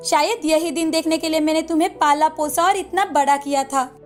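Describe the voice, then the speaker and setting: A woman's voice: sits high, fairly even intonation, brisk, soft background music, natural voice. A woman voicing a haughty, dramatic middle-aged mother-in-law in a cartoon moral story. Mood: angry, scolding.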